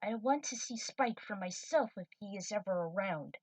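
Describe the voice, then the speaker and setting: Woman performing a gruff fatherly male crewmate, skeptical, stern, story character, dry, slightly distant. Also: medium pitch, lively intonation, moderate pace, no echo, close to an everyday voice. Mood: grudging. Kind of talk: fan fiction character reading.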